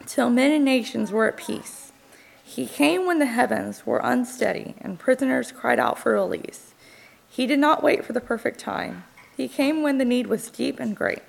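A woman reading scripture and verse aloud at a church service in a measured, declamatory way. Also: a little high, gravelly, slight background noise, low-quality recording.

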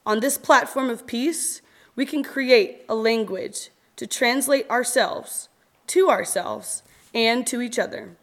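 Female reader, fairly high voice, very slow and measured, reciting scripture and verse solemnly with expressive pauses. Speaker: reader at a church service. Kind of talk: solemn reading of scripture and poetry.

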